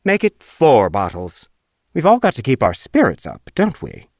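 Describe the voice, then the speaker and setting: A man's voice: medium pitch, huge pitch swings, moderate pace, no echo, clean studio sound. An actor playing a cheeky male trickster fiddler in an audiobook, teasing and mock-polite. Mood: teasing, jaunty. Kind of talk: audiobook character acting.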